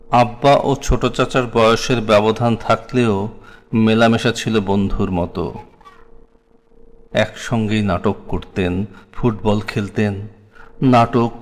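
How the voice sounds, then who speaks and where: A man with a Bangladeshi accent reciting a scripted memoir in a solemn, dramatic, literary way. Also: lowish voice. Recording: long hall-like reverb.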